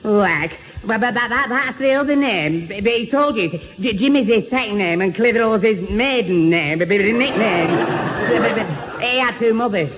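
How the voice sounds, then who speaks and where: An actor playing a dim, flustered young sidekick who stammers and stumbles through a radio sitcom. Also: male voice, high-pitched, lively intonation, normal speed, a bit bright. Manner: stammers and stumbles over words. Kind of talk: 1970 radio sitcom acting. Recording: muffled, very noisy old radio recording.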